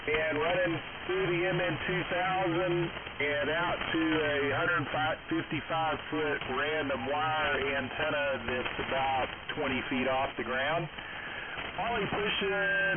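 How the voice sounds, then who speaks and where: A man with a slightly high voice chats in technical terms over shortwave radio. His transmission is very thin and buried in loud noise. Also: unhurried, phone-quality sound. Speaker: amateur radio operator checking into a net. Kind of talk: casual technical radio check-in.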